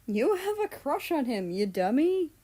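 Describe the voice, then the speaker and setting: A woman's voice: high voice, very animated, a little slow, warm tone, voice pushed up. A woman voicing a pushy, exasperated young female friend in a story, playful and emphatic. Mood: teasing exasperation.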